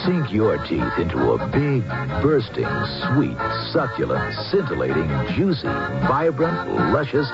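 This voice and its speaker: A man's voice: medium pitch, wildly swooping pitch, a little slow, hardly any pauses. A man announcing a 1970s radio commercial, punching and relishing each word. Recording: dull old radio recording. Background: loud jingle music.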